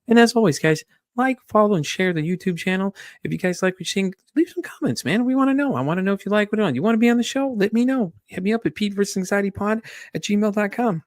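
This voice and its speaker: A man's voice: high-pitched, lively intonation, fast talker, no room sound, clean studio sound. A man hosting his podcast in casual chat, joking and chatty with his listeners. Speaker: podcast host.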